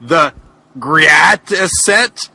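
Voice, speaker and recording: Man doing a slow, grandiose evil genie. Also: a little high, expressive, vocal fry, pushed and bright, slight background noise, full character voice. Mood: menacing. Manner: grandiose, halting. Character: great menacing scheming genie, ancient and evil. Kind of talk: comic dramatic reading of fan fiction.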